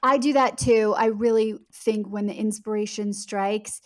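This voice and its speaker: A middle-aged woman talks as an author being interviewed on a podcast. Her pitch sits in an ordinary range for a woman. She explains her points emphatically, in a conversational style. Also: fairly even intonation, a little slow. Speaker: guest author.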